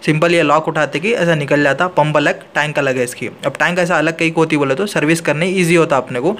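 A man's voice: fairly high pitch, steady tone, brisk, a bit bright, a little hiss or hum. A man, an AC technician walking through a hands-on tutorial in a plain, practical way, filmed on a handheld phone indoors.

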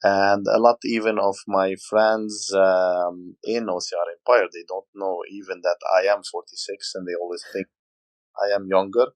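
A man's voice: low voice, fairly even intonation, moderate pace, no echo, rough recording. A man from Lebanon in his forties, a podcast guest telling stories in casual talk with many hesitations. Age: 40s. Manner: hesitant, many fillers, storytelling.

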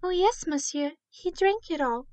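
A tiny high-voiced servant girl. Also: lively intonation, unhurried, a little mellow, no room sound. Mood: meek. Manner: meek, polite. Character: timid, overworked eight-year-old servant girl. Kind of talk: radio drama acting.

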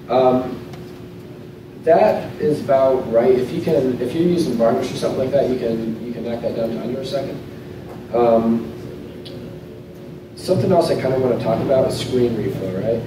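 A man's voice: mid-range voice, moderate pace, long pauses. A man in his thirties giving a casual technical talk with a live demo in a hotel conference room, loose and conversational, often restarting his sentences. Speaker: conference speaker. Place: hotel conference room, in front of a projector screen. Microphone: lectern mic, recorded from a camera at the back of the room. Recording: very echoey room, voice from far off, clear noise.

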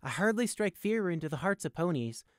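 A man's voice: high voice, sing-song, moderate pace, a little mellow, dry recording, clean studio sound, pitched above the performer's own voice. A man voicing a small, young male pony prisoner who talks in a flippant, needling, theatrical way in a storybook reading. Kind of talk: audiobook character acting.

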